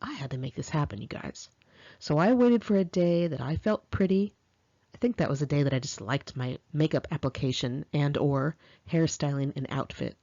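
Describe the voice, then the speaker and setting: An American woman reading her own memoir aloud in an expressive, confiding, conversational storytelling style, with girlish slang. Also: deep voice, moderate pace, dry recording, muffled recording. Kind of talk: first-person audiobook narration, conversational and dramatic.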